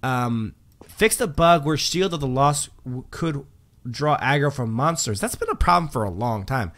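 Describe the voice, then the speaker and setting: A man's voice: mid-range voice, lively intonation, moderate pace, fairly bright tone. A man hosting a livestream, chatting casually while reading patch notes, with lots of um fillers and restarts. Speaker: livestream host.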